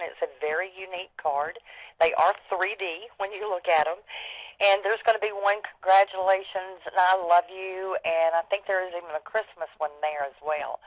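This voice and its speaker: A woman's voice: fairly low pitch, no echo. Female sales leader, enthusiastic and emphatic, informal update, close dry sound over a muffled telephone. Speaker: network marketing leader giving updates. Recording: dull, band-limited conference phone line.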